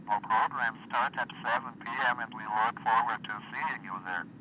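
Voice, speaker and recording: A synthesized male computer voice reading an automated weather broadcast in an even, clipped, word-by-word delivery, off a lo-fi radio recording. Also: medium pitch, normal speed. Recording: dull lo-fi radio recording with faint hiss.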